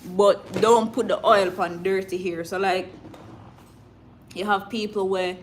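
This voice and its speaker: A woman's voice: mid-range voice, steady tone, a little slow. Jamaican woman chatting live, noisy background. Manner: informal, drifting into Patois. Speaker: livestream host. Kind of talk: casual live chat with viewers. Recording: clear background noise.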